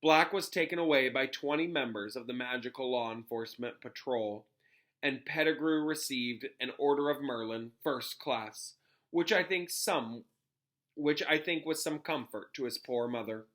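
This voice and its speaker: A man voicing a pompous, grave middle-aged government minister in a storybook, recounting things in a halting, dramatic way. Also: medium pitch, a bit bright, lightly acted. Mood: somber.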